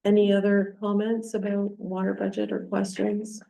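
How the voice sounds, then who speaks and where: A woman's voice: mid-range voice, completely flat delivery, moderate pace, gravelly, warm tone. Mayor woman in an echoey chamber. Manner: plainspoken, explaining with everyday examples. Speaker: mayor chairing a council meeting. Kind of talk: chairing a public meeting. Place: council chamber. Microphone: distant room mic. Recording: echoey council chamber from far off.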